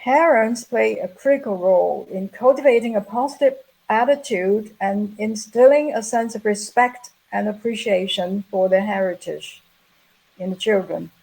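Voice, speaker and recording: A middle-aged woman giving a measured, explanatory plenary lecture at an academic conference. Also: mid-range voice, vocal fry, a little mellow, slightly rough, rough recording. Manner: measured, explanatory, reading prepared remarks. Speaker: plenary speaker.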